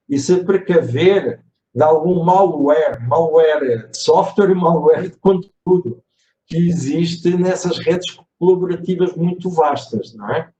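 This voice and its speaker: A man from Portugal with a European Portuguese accent, moderating a webinar in a measured, reflective way, with hesitations and tag questions. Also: on the high side, warm tone. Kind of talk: webinar discussion. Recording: echoey room, distant mic, faint noise.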